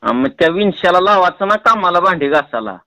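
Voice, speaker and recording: High-pitched rural Marathi man, playfully pestering. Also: expressive, brisk, bright, forceful tone, no echo. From Tuljapur, Maharashtra, India. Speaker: prank caller. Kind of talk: teasing prank phone call chat. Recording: close, dry phone call recording.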